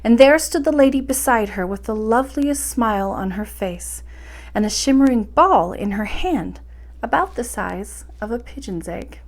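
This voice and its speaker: A woman storyteller reading a bedtime story aloud in expressive narration. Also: a little high, moderate pace.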